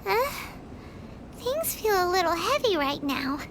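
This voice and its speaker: A woman's voice: very high-pitched, sing-song, measured pace, noisy background, heavily acted. A woman voicing a tiny chirpy fairy-like companion girl who talks about herself in the third person, in a game cutscene. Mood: cheerful. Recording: game soundtrack music behind.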